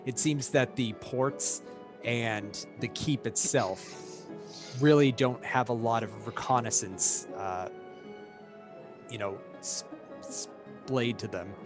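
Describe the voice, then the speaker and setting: A hoarse man narrating as game master of a tabletop game, halting with many fillers and restarts. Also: mid-range voice, over music.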